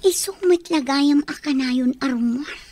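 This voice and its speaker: High-voiced young housemaid, noisy recording. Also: female voice, unhurried, warm tone. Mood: concerned. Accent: Ilocano Filipino. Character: young housemaid daughter, deferential yet observant. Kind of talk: radio drama acting.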